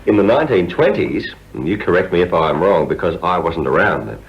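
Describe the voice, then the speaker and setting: Low-voiced middle-aged man. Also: lively intonation, a little fast. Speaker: visiting friend in an interview. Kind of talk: casual interview chat. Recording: dull lo-fi recording.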